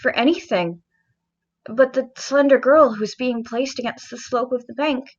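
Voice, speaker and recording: A woman narrator reading gothic fiction from a script in a deliberate, expressive, literary manner. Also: high-pitched, highs cut off. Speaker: audiobook narrator.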